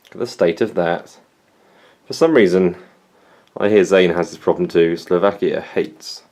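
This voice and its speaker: A man's voice: low voice, darkish tone. A young man with an English accent, from England, chatting casually and poking fun at himself in a plant vlog. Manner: self-mocking, dry humor. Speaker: plant vlogger.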